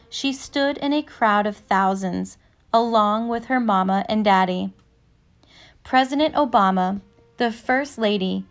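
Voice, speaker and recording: A woman narrating a picture book aloud with measured, deliberate phrasing. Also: medium pitch. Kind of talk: storybook read-aloud, scripted narration.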